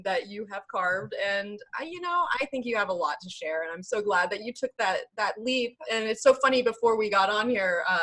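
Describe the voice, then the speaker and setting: A woman's voice: medium pitch, fairly bright tone. A woman hosting a podcast interview in a casual, chatty way, with effusive enthusiasm. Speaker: podcast host interviewing a guest.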